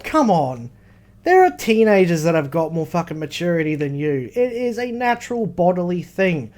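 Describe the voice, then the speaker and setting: A man's voice: high-pitched, very animated, normal speed, a little mellow. An Australian man co-hosting a podcast, bantering casually with a blunt, emphatic delivery and plenty of swearing. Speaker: podcast co-host. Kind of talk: casual banter between mates.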